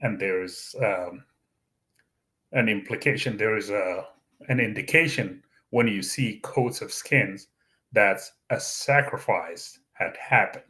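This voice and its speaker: A man whose first language is Arabic talks through a Bible teaching at a very slow, careful pace. His voice sits somewhat low. He pauses often, fills the gaps with "uh," and restarts his sentences. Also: sharp tone. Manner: slow, deliberate, with frequent fillers and restarts. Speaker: YouTube channel host.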